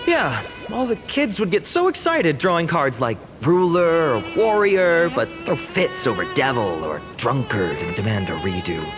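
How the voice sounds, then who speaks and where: Very animated, playful young villager. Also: female voice, low-pitched, moderate pace, hardly any pauses, over music. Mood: playful musing. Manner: casual, exclamatory. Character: playful, excitable young villager with boyish spirit. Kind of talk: animated game dialogue.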